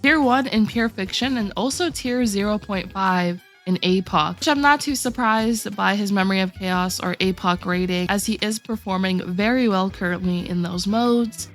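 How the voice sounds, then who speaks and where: A woman with a mid-range voice, a little rough from a sore throat, hosts a gaming commentary video. She explains character placements in a steady, methodical way. Faint music sits quietly underneath her voice. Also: medium pitch, normal speed, hardly any pauses. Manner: steady explanatory walkthrough, addressing viewers directly. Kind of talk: game tier list commentary.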